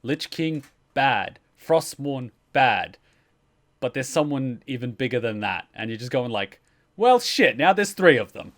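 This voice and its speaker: A man's voice: medium pitch, expressive, measured pace. Man giving casual, emphatic commentary. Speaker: reaction video host.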